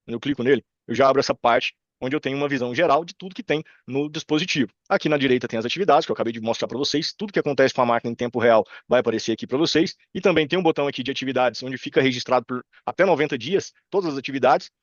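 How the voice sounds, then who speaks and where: A man's voice: medium pitch, racing, few pauses, no echo, muffled recording. A Brazilian man in his thirties speaking Portuguese with a Brazilian accent, presenting an informal, chatty live screen-share tutorial. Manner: informal, chatty, checks in with listeners. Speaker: presenter demonstrating software. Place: indoor room on a video call.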